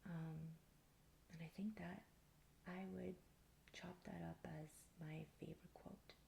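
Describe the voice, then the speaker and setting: A woman in her thirties speaks softly in a calm ASMR style, close to the mic. Her voice is lowish and mellow, breathy to the edge of a whisper, with very heavy vocal fry. She takes her time as she chats about herself. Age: thirty-four. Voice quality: soft, breathy near-whisper. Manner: calm, unhurried. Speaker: ASMR video creator. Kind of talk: soft-spoken ASMR, answering personal tag questions. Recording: faint background hiss.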